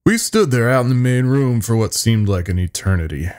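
A man's voice: mid-range voice, lively intonation, brisk, darkish tone. A man narrating a first-person horror story in a weary, haunted, folksy storytelling manner.

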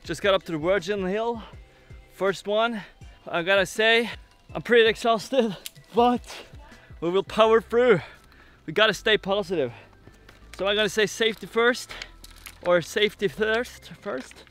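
A man's voice: high voice, measured pace, fairly bright tone, faint music behind. Upbeat young man vlogging outdoors. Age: young adult. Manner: upbeat, joking, narrating to camera. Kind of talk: casual travel vlog chat. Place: outdoors by a fjord and on a mountain. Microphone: camera held at arm's length.

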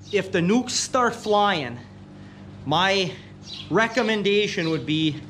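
Plainspoken middle-aged farmer telling a story. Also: male voice, fairly high pitch, slight background noise. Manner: rambling, plainspoken storytelling with many ums. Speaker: farmer vlogger. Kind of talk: casual walkthrough narration. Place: inside a large old wooden barn. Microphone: action camera, held or set nearby.

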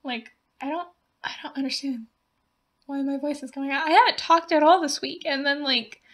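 A young woman chats loosely over gameplay, her voice bright and a touch high. She giggles between rambling thoughts and full of fillers. Her voice is wearing thin and slightly hoarse. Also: steady tone, normal speed. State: voice going hoarse. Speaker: gaming video creator. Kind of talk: casual voice-over narration of a building game.

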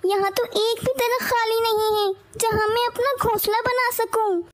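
A woman's voice: very high voice, fairly even intonation, moderate pace. A woman voicing a small, anxious, good-hearted mother bird in a children's cartoon, earnest and plaintive. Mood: worried. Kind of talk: Hindi children's cartoon dialogue.

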